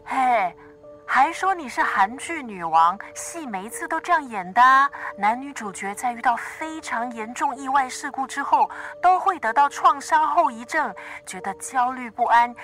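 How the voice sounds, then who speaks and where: Bright, high-voiced, nagging Singaporean best friend. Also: female voice, moderate pace, soft background music. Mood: explaining. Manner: animated, nagging, colloquial. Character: chatty, bossy, worried woman best friend. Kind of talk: Mandarin radio drama acting.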